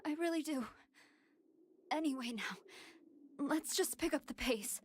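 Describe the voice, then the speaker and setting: A fragile android girl in a video game. She speaks high and slowly, hesitant and apologetic, straining to seem composed over background music. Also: slight background noise. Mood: sheepish, shaky. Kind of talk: English video game voice acting. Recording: music behind the voice.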